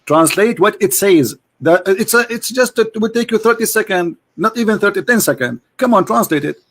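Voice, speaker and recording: A man with a notably high-pitched voice drives a heated debate, hammering his caller with the same questions over and over. He talks insistently, pressing for yes-or-no answers without letting up. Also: lively intonation, moderate pace, fairly bright tone, breathy edge. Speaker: debate host.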